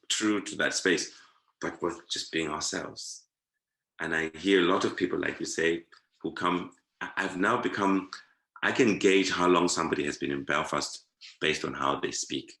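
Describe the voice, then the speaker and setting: Man from zimbabwe, low bright voice, slow thoughtful chat, echoey room. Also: creaky. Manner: thoughtful, repeats words to savor them. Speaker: guest, performing artist. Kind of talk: reflective conversation.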